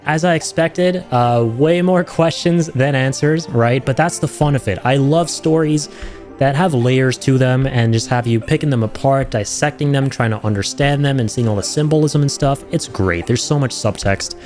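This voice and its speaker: A man's voice: medium pitch, normal speed, a little mellow, soft background music. A man giving casual, effusive and rambling reaction commentary as a video host, addressing his viewers directly.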